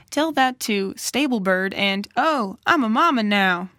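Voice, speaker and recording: A world-weary female pony writer played by an actress with a mid-pitched voice, recorded close and dry. She is moody, wry and self-doubting, with a touch of noir detective drama. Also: expressive, takes it slowly, sharp tone, no room sound. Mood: self-deprecating, weary. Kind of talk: noir narration and dramatic dialogue.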